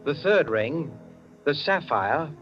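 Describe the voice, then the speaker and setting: A man playing the storyteller of an old radio mystery, narrating in a deliberate, literary, dramatic way. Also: on the high side, expressive. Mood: measured, suspenseful. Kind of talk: 1940s radio scripted narration. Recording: dull vintage radio recording with music.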